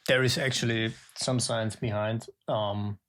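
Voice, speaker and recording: A man's voice: lowish voice, steady tone, measured pace, a bit bright. A man hosting a podcast interview, chatting casually, often affirming his guest and explaining ideas. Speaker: podcast host.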